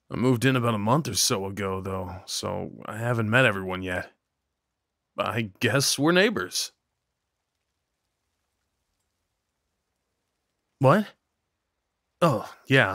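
A man's voice: on the low side, animated, measured pace, long pauses, no echo, studio quality. Kind, hesitant young-hearted neighbor guy played by a man. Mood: friendly, apologetic. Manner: hesitant, gently concerned, conversational. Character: kind, awkward, caring male neighbor comforting someone. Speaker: audio roleplay performer. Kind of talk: ASMR roleplay, soft one-sided dialogue acting.

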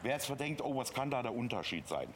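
A man's voice: medium pitch, sing-song, fast, slight background noise. A middle-aged man giving a conversational, unscripted sales demonstration as a TV shopping presenter. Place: TV shopping studio.

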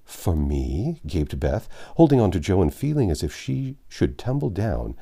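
Older guy reading a classic novel out loud, low mellow voice, big swoopy intonation, bit husky. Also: unhurried. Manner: lively, voices the characters' lines with raised pitch. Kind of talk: storybook read-aloud narration. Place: home library with bookshelves and armchair. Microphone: lapel mic.

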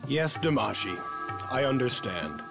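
An actor playing an earnest, dutiful young martial arts hero in a video game, polite and formal. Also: male voice, mid-range voice, sing-song, a little slow, creaky, over loud music. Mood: questioning, dutiful. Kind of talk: video game cutscene acting.

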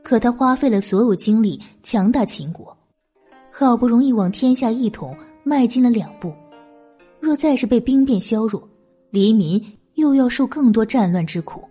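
A woman voicing a shrewd, resolute female strategist in an audiobook, measured, wry and commanding. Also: fairly high pitch, lively intonation, mellow, breathy edge, soft background music. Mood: grave, resolute.

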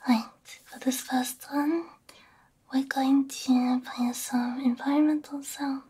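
Slow, breathy whispering woman, high-voiced. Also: fairly even intonation. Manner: gentle, reassuring, unhurried. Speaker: ASMR roleplay creator playing a robot repair technician. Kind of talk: whispered ASMR roleplay. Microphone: close binaural ASMR microphone.

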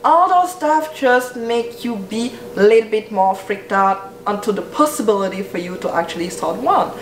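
A woman's voice: mid-range voice, expressive, moderate pace, reverberant, slight background noise, rough recording. A young woman, a style coach giving an earnest, encouraging vlog talk to camera in a bedroom. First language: French. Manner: earnest, encouraging, talks with her hands. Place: bedroom with clothes rack and shelves, indoors. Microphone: camera at medium distance.

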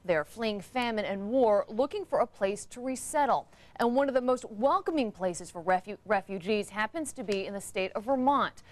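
A woman anchor introducing a report in a TV news studio, composed and clear. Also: medium pitch, lively intonation, normal speed, few pauses.

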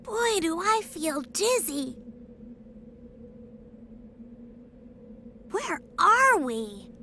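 A woman voicing a young, eager boy fox sidekick in a video game, excitable and childlike. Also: very high-pitched, slow, a little hiss or hum, heavily acted. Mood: dazed. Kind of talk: video game cutscene acting.

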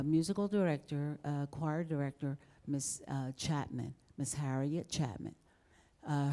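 A woman's voice: deep voice, mellow. A woman in her sixties telling stories on a panel with a folksy, chuckling manner, into a handheld mic in a large formal hall. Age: 60s. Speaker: panel guest singer. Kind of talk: storytelling on a panel.

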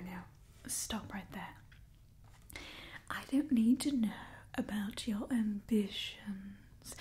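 A young woman performs an old, cold vampire matriarch in an ASMR roleplay. Her whisper is highish, mellow and breathy, delivered slowly and deliberately. She sounds haughty, theatrical and disdainful, a bitter clan leader weary of humans. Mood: dismissive, impatient. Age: young adult.

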